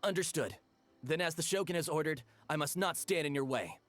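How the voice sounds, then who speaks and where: A man's voice: on the high side, fairly quick, close to an everyday voice. A man voicing a dutiful palace gate guard in a game cutscene, speaking in a stiff, officious way. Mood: formal.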